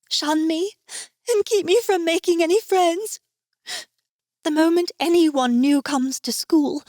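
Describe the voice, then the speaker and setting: Woman playing a high-voiced, vulnerable young elf girl. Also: a little mellow, dry recording, studio quality. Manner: earnest, vulnerable, emotional delivery. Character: sweet, bullied young elf girl, tearful and gentle.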